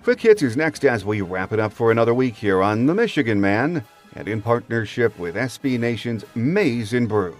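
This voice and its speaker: A man's voice: medium pitch, big pitch swings, moderate pace, hardly any pauses, faint music behind. A middle-aged or older man hosting a sports podcast, moving between talk and scripted bulletins. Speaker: podcast host.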